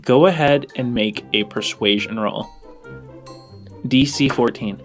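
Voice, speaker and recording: A man runs a tabletop game as its narrator. He improvises casually in halting phrases, in a medium-pitched voice, with faint music playing underneath. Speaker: game master.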